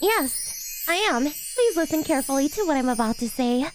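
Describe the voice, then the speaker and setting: A sweet, childlike teenage girl from a manga dub, played by a woman. Her voice is extremely high and lively, earnest and devoted, over light music. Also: normal speed.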